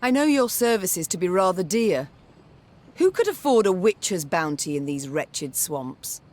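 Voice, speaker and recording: A woman's voice: on the low side, very animated, measured pace, darkish tone. A woman playing a proud, commanding queen in a fantasy game, speaking in a formal, pointed way. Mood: probing, stern. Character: proud, commanding warrior queen questioning a mercenary. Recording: background music under the dialogue.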